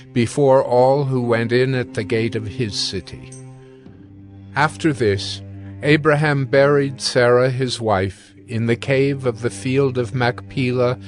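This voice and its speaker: A man narrating scripture from a script in a measured, formal manner. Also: mid-range voice. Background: soft music.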